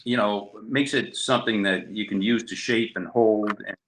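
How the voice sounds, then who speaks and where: A man in his fifties with a Rhode Island accent, joining the chat as a guest. His voice sits a little low, and he talks in an easygoing, halting way with frequent fillers. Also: steady tone, fairly bright tone, a little hiss or hum, low-quality recording. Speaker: company co-owner, guest.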